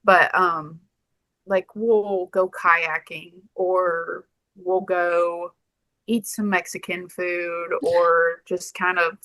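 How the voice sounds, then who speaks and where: A woman's voice: lowish voice, flat delivery, extremely slow, bright, forceful tone, no room sound, poor audio. A woman in her thirties, a podcast guest, chatting casually and laughing often. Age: 30s. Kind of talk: casual interview chat.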